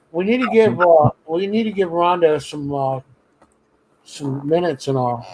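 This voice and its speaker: A man's voice: a little high, unhurried, poor audio. A man from New York hosting a live stream in casual chat, blunt and jokey with profanity.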